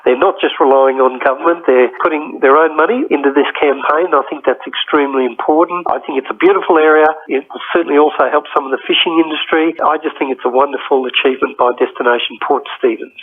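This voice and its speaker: On a narrow, phone-like line, a man comments for the news as a politician. His voice is husky and a bit high, and he talks quickly. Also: fairly even intonation. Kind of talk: interview soundbite.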